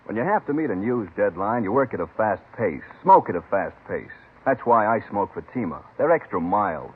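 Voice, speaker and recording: An actor playing a busy newspaper reporter reading a scripted, emphatic testimonial in a 1950s radio advertisement. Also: male voice, lowish voice, very animated, normal speed, breathy edge. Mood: earnest. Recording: tinny, narrowband old radio recording.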